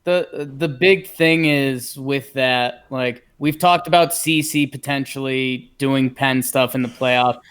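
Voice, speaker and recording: A man's voice: mid-range voice, steady tone, slow pace, hardly any pauses, fairly bright tone, clean tone. A man with an American accent co-hosting a podcast in casual sports chat. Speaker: podcast host.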